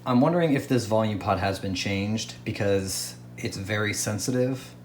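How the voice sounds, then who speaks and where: A man's voice: on the low side, moderate pace, darkish tone, reverberant, slight background noise. A man casually talking to himself while testing an antique radio, thinking aloud and easily amused.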